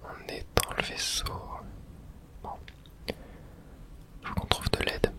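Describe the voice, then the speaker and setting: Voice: takes it slowly, bright, forceful tone. A person whispering breathily while narrating their own video game play in an ASMR style, murmuring reactions and mild swearing.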